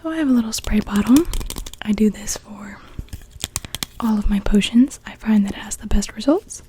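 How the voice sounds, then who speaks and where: A young woman playing a gentle, welcoming witch in a soft, soothing whispered ASMR roleplay. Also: medium pitch, moderate pace, slight background noise, lightly acted. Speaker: ASMR performer.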